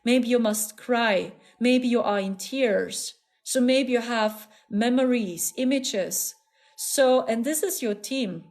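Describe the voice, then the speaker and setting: A woman whose first language is German, speaking English with a clear German accent. She hosts a live stream as a spiritual channeler, and her voice sits fairly high. She talks in an earnest, soothing, repetitive way and often breaks into small laughs. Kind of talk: casual live-stream talk.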